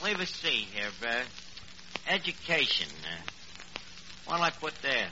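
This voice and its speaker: An actor playing a dim, bluffing, uneducated city man with a halting, muddled delivery, in 1940s radio comedy style. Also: medium pitch, big pitch swings. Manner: halting, muddled, mangling words. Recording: muffled, noisy old radio broadcast.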